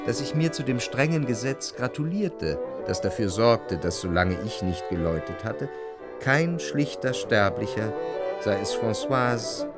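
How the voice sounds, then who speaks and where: An actor playing a sensitive, refined, melancholic narrator in a literary radio drama, speaking in a measured, lyrical way. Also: male voice, medium pitch, expressive, over loud music, lightly acted. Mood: yearning, reflective. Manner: measured, reflective, lyrical delivery.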